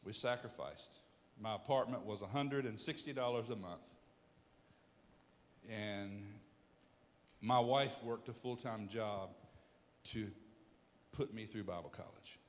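A pastor in his fifties preaching a sermon, emphatic, swinging from loud bursts to hushed storytelling. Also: on the low side, in a large hall.

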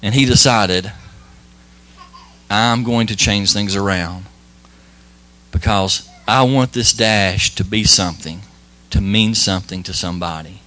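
Folksy preacher man, bright tone. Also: fairly low pitch. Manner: halting and folksy, many restarts and fillers; modest, plain-spoken. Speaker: lay preacher. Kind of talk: informal church sermon with prayer.

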